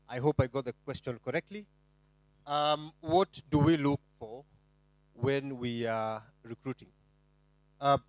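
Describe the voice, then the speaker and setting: A man speaks slowly and carefully on a career panel, pausing with ums. His middle-pitched voice is dry and close to the mic. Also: no room sound, low-quality recording. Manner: easygoing, slow and careful, lots of ums. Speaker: HR consultant. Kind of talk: panel discussion.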